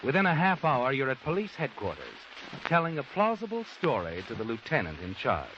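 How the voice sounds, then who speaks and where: An actor playing a sardonic, knowing narrator in dramatic 1940s radio suspense style. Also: male voice, medium pitch, huge pitch swings, fairly quick, fairly bright tone, slight background noise. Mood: ominous, insinuating. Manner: insinuating, dramatic. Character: sardonic, knowing male narrator, needling the guilty. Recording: muffled old radio broadcast, music behind.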